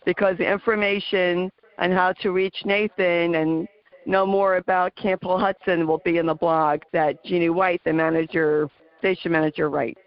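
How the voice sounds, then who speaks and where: A woman with a New Jersey accent hosting a talk radio interview, earnest and halting with many fillers. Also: lowish voice, level tone, muffled recording. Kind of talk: casual interview chat.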